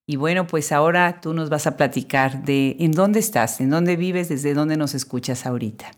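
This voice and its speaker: A woman's voice: sits low, moderate pace. A woman hosting a podcast interview, reading a formal introduction and then chatting in a friendly way. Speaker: podcast host.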